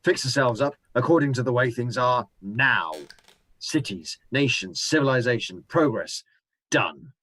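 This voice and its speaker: A man's voice: mid-range voice, measured pace, bright, forceful tone, no room sound. Guy doing a grim ex-soldier survivor, intense and preachy, dry close sound. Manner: brooding, intense, preaching his plan. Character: hardened male ex-soldier survivor, scheming and grim. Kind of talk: amateur radio drama acting. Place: home room, recorded remotely.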